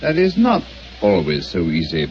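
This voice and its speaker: An actor playing a calm, sharp male detective who talks with precise, dryly ironic deduction in an old radio drama. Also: low-pitched, moderate pace, slight background noise, heavily acted. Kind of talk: 1940s radio acting. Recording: dull old radio broadcast.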